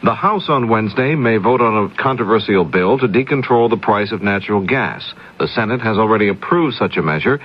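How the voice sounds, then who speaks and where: A male radio newscaster from the 1970s with a low voice and a husky edge. He reads a scripted bulletin. The sound is dull and lo-fi, like an old AM broadcast.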